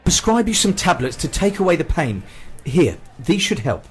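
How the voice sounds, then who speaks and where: A man's voice: on the high side, very animated, normal speed, husky, faint music behind, exaggerated voice. A man playing a troubled hospital doctor in a radio drama, polite but menacing, with stiff formal phrasing. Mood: brisk, polite.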